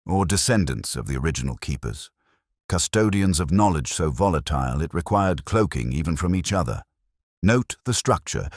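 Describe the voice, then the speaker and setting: A man voicing an intense, precise detective in an audio story, speaking in a deliberate, commanding, didactic way. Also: very deep voice, animated, husky, no echo, studio quality, exaggerated voice. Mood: intent, measured.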